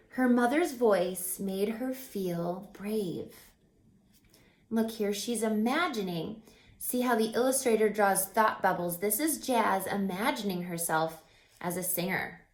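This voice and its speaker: A woman reading a children's picture book aloud as a teacher, expressively, pausing to put questions to young listeners. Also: medium pitch, animated, echoey room.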